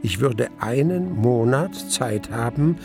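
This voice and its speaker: An actor playing a wistful man recounting his past love as narrator of a German radio play, in measured, literary standard German. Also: mid-range voice, lively intonation, vocal fry, music in the background. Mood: reflective.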